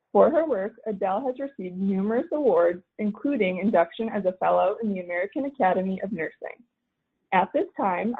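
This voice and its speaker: A woman moderating a webinar, reading the welcome and the speaker introductions from a script. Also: medium pitch. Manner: formal, reads from a script. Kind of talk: scripted announcements. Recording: phone-line audio.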